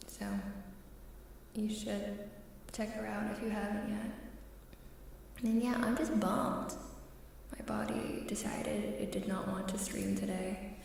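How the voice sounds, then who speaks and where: Slow, whispery woman in heavy echo. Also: mid-range voice, darkish tone. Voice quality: breathy, often whispery. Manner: slow, hesitant, halting, apologetic. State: unwell, queasy. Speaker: live streamer. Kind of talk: soft ASMR stream chat, often dropping to a whisper. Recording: very echoey, distant, faint background noise.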